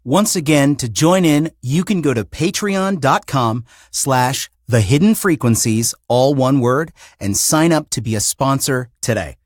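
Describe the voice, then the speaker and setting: A man hosting a podcast, reading a scripted announcement in a polished, deliberate presenter's manner. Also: mid-range voice, lively intonation, dry recording. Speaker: podcast host and show creator.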